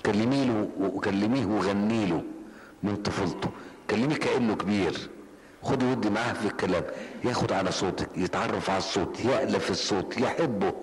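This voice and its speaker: A man's voice: on the low side, brisk, long reverb tail, slight background noise, rough recording. An older man with an Egyptian Arabic accent, giving a colloquial, story-driven lesson in a mosque and repeating phrases for emphasis. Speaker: preacher. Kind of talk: mosque lesson answering questions. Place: mosque hall.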